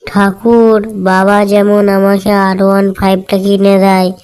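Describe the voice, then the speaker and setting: A man's voice: high voice, little pitch movement, a little slow, background noise. A man playing brash, foul-mouthed young village friends who grumble and bicker in an amateur comedy cartoon. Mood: pleading, grumbling. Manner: colloquial, bickering, coarse. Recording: loud music under the voice.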